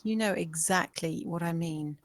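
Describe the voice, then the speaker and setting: A woman's voice: on the low side, moderate pace, lightly acted. Woman acting defiant, probing servant in gothic drama. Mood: accusing, defiant. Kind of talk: dramatic screenplay table read.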